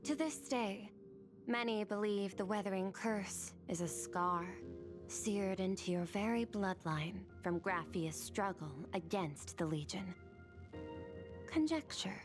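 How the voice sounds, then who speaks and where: A woman's voice: medium pitch, lively intonation, takes it slowly. Formal female aide, music behind. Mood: careful, deferential. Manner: precise, deferential, matter-of-fact. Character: formal, analytical female aide reporting to her superior. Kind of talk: scripted video game dialogue. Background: prominent music.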